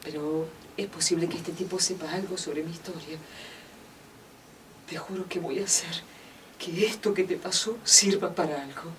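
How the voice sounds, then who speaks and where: Radio drama actress as determined, grieving young woman; low pitch, distant mic. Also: moderate pace, lightly acted. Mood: grieving resolve. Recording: distant mic, faint room noise.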